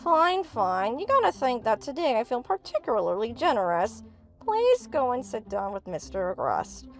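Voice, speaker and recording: Lady doing a strict sarcastic teacher, formal, highish voice, cartoon dub. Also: huge pitch swings, moderate pace, soft background music. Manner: formal, dry. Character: stern, sarcastic female school teacher.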